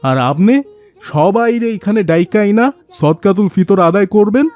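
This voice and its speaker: A man's voice: high voice, moderate pace, darkish tone, lightly acted. A man voicing a humble, devout, poor rickshaw puller in a cartoon, plain-spoken, with a rural Bangladeshi dialect. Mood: humble, earnest. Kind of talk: cartoon dialogue acting.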